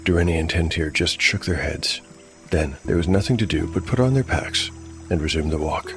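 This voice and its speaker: Low, gravelly male storyteller over music. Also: normal speed, a bit bright. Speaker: solo game master and narrator. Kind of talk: dramatic storytelling narration with game commentary. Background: prominent music.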